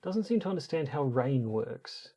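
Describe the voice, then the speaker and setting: A man's voice: medium pitch, big pitch swings, moderate pace, mellow, husky. A man co-hosting a podcast in casual, bantering chat, with dry, self-deprecating humour. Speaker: podcast co-host. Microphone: lapel mic.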